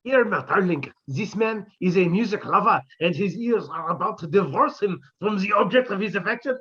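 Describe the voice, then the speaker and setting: A man's voice: high voice, animated, moderate pace, sharp tone, breathy edge, muffled recording, heavily acted. A man playing a florid, theatrical professor in a 1950s-style radio comedy. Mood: pompous.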